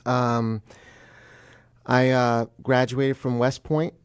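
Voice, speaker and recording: An American man from New Jersey giving a casual interview as a guest, pausing often with ums and uhs. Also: mid-range voice, level tone, clear, resonant tone, no room sound, clean studio sound. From New Jersey, United States. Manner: hesitant, frequent ums and uhs. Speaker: guest attorney.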